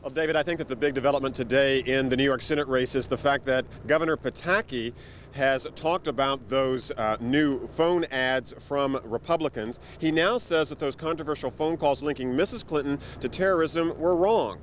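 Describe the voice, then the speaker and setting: A man delivers a live broadcast political report in a mid-range voice. Faint traffic rumble sits behind him, as from an outdoor location. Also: moderate pace.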